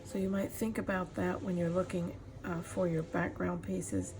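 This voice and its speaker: A middle-aged woman giving a casual craft demonstration as she works, pausing often to think. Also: fairly low pitch, level tone. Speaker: craft tutorial host. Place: indoors at a craft table. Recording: clear background noise, room ambience.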